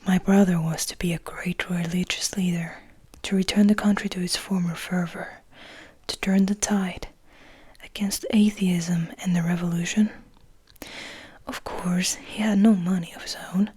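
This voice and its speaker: A woman voicing a serene but bitter male vampire in a measured, formal, breathy whisper for a storybook reading. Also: fairly low pitch, steady tone, darkish tone. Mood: reflective, somber.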